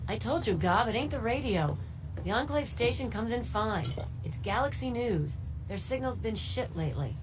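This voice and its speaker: A woman's voice: fairly high pitch, animated, normal speed, loud music behind the voice, natural voice. An actress playing a frank, easygoing saloon woman in a video game, talking in a casual, matter-of-fact way. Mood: mildly annoyed. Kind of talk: video game character dialogue.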